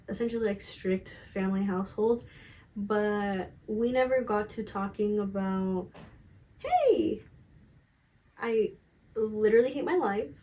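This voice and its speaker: A woman in her twenties chatting casually and candidly to camera as a vlogger, emphatic and slangy, with a slightly raspy voice. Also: mid-range voice. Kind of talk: casual confessional chat to camera.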